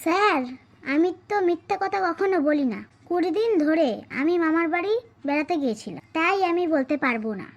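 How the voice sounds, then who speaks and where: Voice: extremely high pitch, fairly quick. A performer voicing a cheeky, mock-innocent little school kid in a comic cartoon.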